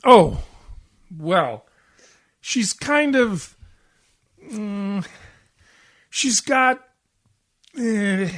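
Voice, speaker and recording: A man's voice: sits high, animated, very slow, pauses often, fairly bright tone, full character voice. A man playing a grouchy, self-pitying, bourbon-loving husband in a 1940s-style radio comedy, whiny and melodramatic. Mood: agitated. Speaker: community theatre actor.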